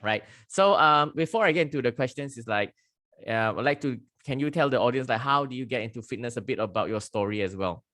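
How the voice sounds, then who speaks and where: A man's voice: medium pitch, fast, fairly bright tone, dry recording, studio quality. A man hosting a podcast interview, chatty and enthusiastic, laughing often between questions. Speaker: podcast host. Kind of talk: casual interview chat.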